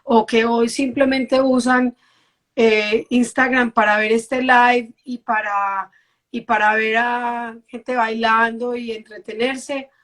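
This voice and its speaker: A woman's voice: a little high, level tone, takes it slowly, a bit bright. A woman hosting a casual live-stream chat, earnestly encouraging her viewers. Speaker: host.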